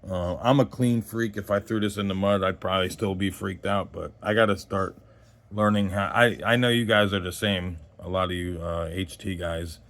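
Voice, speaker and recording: Low-voiced man in his forties, rambling casually. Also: fairly even intonation, moderate pace, a bit bright. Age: 40s. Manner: rambling, conversational, trailing off mid-thought. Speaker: host of a radio gear review channel. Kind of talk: casual unscripted product review chat.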